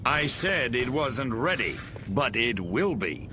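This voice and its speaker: A man's voice: mid-range voice, sing-song, slow, noisy background. A man acting an evasive, smug government agent in a video game, dry and self-assured.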